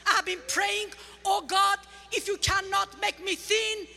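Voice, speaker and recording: A woman with an Armenian accent, a guest speaker giving a lively, humorous church testimony, heard in a large church. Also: extremely high pitch, measured pace, gravelly. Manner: lively, humorous, teaching the crowd. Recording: large church hall reverb with faint music.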